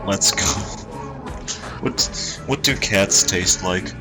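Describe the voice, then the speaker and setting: A man streaming, chatting casually and reading posts aloud in a dry, monotone way. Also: low-pitched, very slow, over music. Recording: noisy home stream recording.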